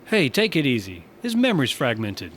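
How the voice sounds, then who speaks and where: Easygoing bartender, mid-pitched voice. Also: male voice, wildly swooping pitch, moderate pace, a bit bright, slight background noise. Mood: easygoing, concerned. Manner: casual, wry. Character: easygoing, scheming male space station bartender.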